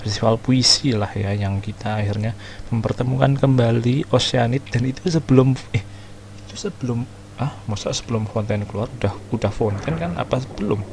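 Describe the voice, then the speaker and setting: A creaky-voiced Indonesian man chats through a game playthrough in a slangy, casual way. He mixes in English words, and there is faint noise behind him. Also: mid-range voice, fast talker, a little mellow. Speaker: gaming video host.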